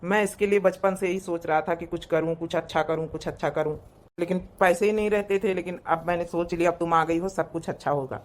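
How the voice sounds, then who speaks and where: A performer plays a young village husband and brother in a family cartoon. He has an Awadhi accent and a high-set voice for a man. He is earnest, caring and protective of his family. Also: steady tone, a little fast, lightly acted.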